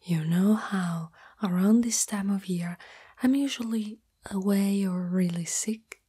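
A woman playing a shy, loving young spider-girl in an intimate, breathy whispered ASMR roleplay, speaking hesitantly and afraid of rejection. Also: medium pitch, measured pace, warm tone. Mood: gentle, confiding. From Italy.

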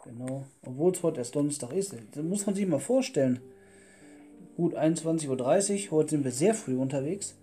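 A man's voice: on the high side, expressive, moderate pace. A man hosting a gaming video, talking casually as he plays. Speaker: gaming channel host and player. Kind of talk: casual Let's Play chat. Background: loud plucked guitar music.

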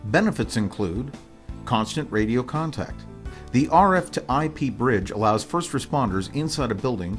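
An American man reading scripted promotional narration in a formal, measured, informational manner. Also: mid-range voice. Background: music bed.

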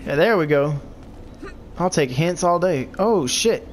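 A young man, stuffed up and congested, rattles off casual commentary as he plays. His voice sits a bit high and swings widely in pitch, full of profane trash talk at enemies. A faint hiss lies under the recording. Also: expressive, a little slow. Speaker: let's play host.

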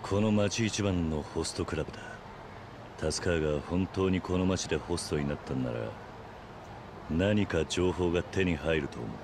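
An actor playing a stoic, commanding middle-aged former yakuza in a video game, speaking tersely and gravely. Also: male voice, deep voice, moderate pace, background noise, natural voice. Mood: calm. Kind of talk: acted video game cutscene dialogue.

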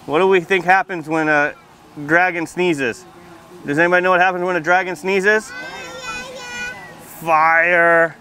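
A man's voice: high voice, fairly even intonation, a little slow, long pauses, sharp tone, slight background noise. A man in his thirties, a firefighter, talking and reading to young children in a plain-spoken, friendly way, outdoors through a face mask. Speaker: firefighter and fire prevention educator reading at a children's storytime. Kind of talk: read-aloud storytime and casual talk to young children. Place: outdoors under a canopy on a library lawn.

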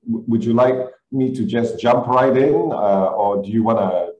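A man's voice: mid-range voice, moderate pace, warm tone, slight background noise. A man joining a webinar as a guest over a video call, speaking hesitantly with stammering restarts. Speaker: webinar guest, tech agency CEO. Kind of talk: webinar conversation. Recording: roomy, distant, dull laptop audio.

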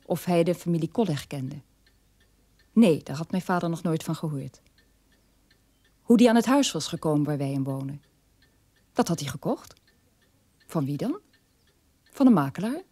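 Estranged middle-aged son, radio drama, measured telling, low-key mellow tone, dry recording. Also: male voice, animated, no echo. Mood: resigned, estranged. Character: middle-aged German son, estranged from his elderly father.

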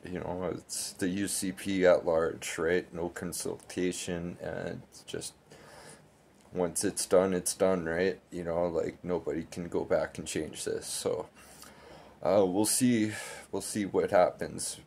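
A man in his thirties vlogs about local politics from a small bedroom, speaking into a close camera. His voice is deep and flat, with a gravelly edge. A faint hiss sits under the recording. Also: slow pace. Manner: loose, filler-heavy, critical. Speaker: political vlogger. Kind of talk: casual political commentary to camera.

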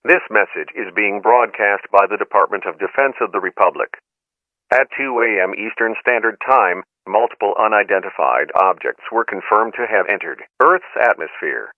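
A man reading an official emergency broadcast in a formal, even delivery. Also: fairly low pitch, moderate pace, no room sound. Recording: tinny radio-band broadcast.

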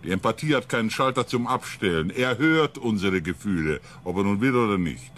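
A level-headed ship psychologist in a radio play. His low voice moves expressively as he patiently explains, over faint ambience. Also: animated, brisk, fairly bright tone, a little hiss or hum. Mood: reasoning. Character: calm, balancing ship psychologist, a man. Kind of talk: science fiction radio drama dialogue.

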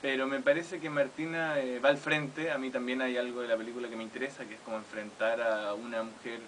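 A man in his thirties with a Chilean accent, a film director talking about his film in a relaxed, thoughtful way in a radio interview. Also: mid-range voice, fast, slight background noise. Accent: Chilean Spanish. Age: 30s. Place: small radio studio. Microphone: studio mic on boom arm.

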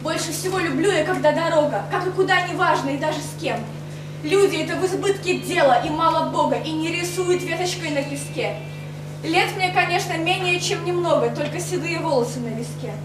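A young woman reading her own poems aloud on a cafe stage, in an intimate, lilting declamation. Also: very high-pitched. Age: young adult. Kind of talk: poetry recitation. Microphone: far camera mic, no handheld mic. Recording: echoey club room, far mic, audible noise.

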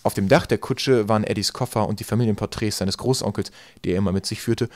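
A man's voice: lowish voice, fairly even intonation, fast talker, a little mellow, no room sound. A man narrating a storybook as a scripted audiobook reading. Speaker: audiobook reader.

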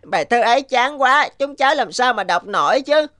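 A woman's voice: on the high side, lively intonation, normal speed, fairly bright tone, faint music behind. A woman voicing a candid, cheeky village child in a cartoon, with a childlike, frank manner. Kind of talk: cartoon dubbing.

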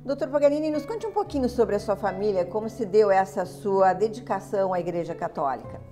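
Woman interviewer, gentle prompting questions. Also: mid-range voice, expressive, a little fast, soft background music. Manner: gently prompting, finishes the guest's sentences. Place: indoor room beside an altar.

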